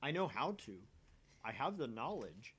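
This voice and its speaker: A man's voice: medium pitch, big pitch swings, moderate pace, a bit bright, slight background noise. A man hosting a let's play, reading visual novel narration aloud and chatting casually in a self-deprecating way.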